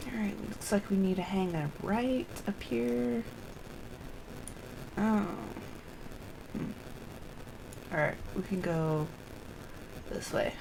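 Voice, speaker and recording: A woman's voice: mid-range voice, slow pace, gravelly, pauses often, noisy background. A woman casually commenting on her gameplay as a streamer, muttering to herself as she plays. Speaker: game streamer. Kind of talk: casual live gameplay commentary with read-aloud dialogue.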